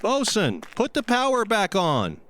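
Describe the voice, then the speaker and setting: Animated, testy spaceship chief played by a man. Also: high-pitched, a little slow, background noise, full character voice. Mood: dismissive. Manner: commanding, curt. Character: testy, complacent male spaceship chief in command. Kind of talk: old-time radio parody acting.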